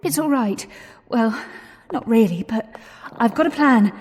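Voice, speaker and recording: A woman's voice: fairly high pitch, animated, measured pace, darkish tone, slight background noise. An actress playing a frightened but resolute young Englishwoman in a 1920s period radio drama, speaking urgently in an English accent. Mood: nervous, urgent. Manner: urgent, anxious. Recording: long reverberant space, music underneath. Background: music.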